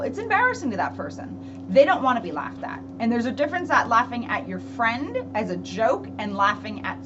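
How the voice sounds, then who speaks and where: A woman police officer speaking to children in an expressive, storybook read-aloud manner, with emphatic pauses. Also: fairly high pitch. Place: indoors at a public library. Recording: dull lo-fi audio with clear background noise.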